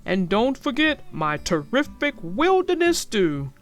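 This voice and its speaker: Lady doing a big proud storybook papa bear, slow grand delivery, swoops up and down, music behind. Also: on the high side, very animated. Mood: confident. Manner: grand, hearty, confident. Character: boastful, enthusiastic middle-aged father bear. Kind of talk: storybook character voice.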